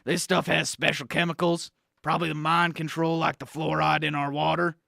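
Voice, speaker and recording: A man putting on a loud conspiracy-ranting newsman. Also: a little high, little pitch movement, moderate pace, vocal fry, dry recording, studio quality, pitched above the performer's own voice, full character voice. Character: brash male conspiracy-ranting news correspondent and supplement salesman. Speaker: comedy radio character. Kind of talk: improvised radio comedy bit.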